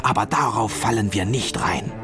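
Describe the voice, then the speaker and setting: A man's voice: on the low side, moderate pace, music in the background, natural voice. A man voicing an eager, adventurous female sheep playing pirate in a children's story, bold and exclamatory. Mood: scornful.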